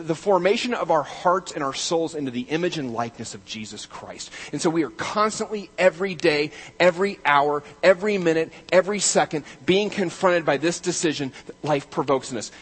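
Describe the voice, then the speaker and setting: A man's voice: a little high, moderate pace, hardly any pauses, breathy edge. Man preaching with emphatic build-ups. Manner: emphatic, rising to loud declarations then dropping quiet. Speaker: pastor. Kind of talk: sermon.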